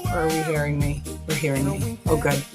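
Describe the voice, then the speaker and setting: A woman's voice: low-pitched, little pitch movement, a little fast, over loud music. A woman hosting a live stream, chatting loosely and swearing casually as she sets up her screen. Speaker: livestream host.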